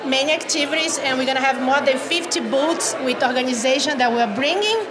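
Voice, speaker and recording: A woman's voice: high voice, a little fast, crowd noise. A woman with a Brazilian accent, a native Portuguese speaker, explaining community programs conversationally as an interview guest. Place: video call.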